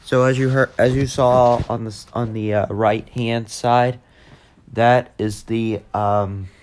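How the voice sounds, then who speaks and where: A man giving a casual, unscripted tech demo in a halting, repetitive way that tends to trail off. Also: mid-range voice, steady tone, darkish tone, a little hiss or hum. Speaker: video host demonstrating a walkie-talkie app.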